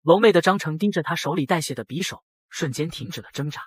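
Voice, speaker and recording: A man voicing a brash young factory boss who narrates his own dramatic motion-comic story in a husky voice. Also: a little high, expressive, quick pace, dry recording, clean studio sound. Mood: dramatic narrating. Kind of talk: dramatic first-person scripted narration.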